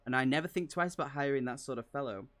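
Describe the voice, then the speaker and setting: A man's voice: mid-range voice, fast, a bit bright, natural voice. Man reading as a frank, confident warrior woman. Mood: frank, persuasive. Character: big, battle-worn female adventurer, frank and confident.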